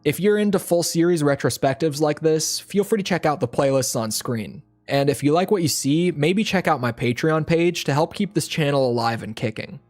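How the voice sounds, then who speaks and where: A man with a slightly hoarse voice, reading a scripted video game review in a casual, conversational way. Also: a little high, normal speed, few pauses. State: slightly hoarse, near losing his voice. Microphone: home voiceover mic.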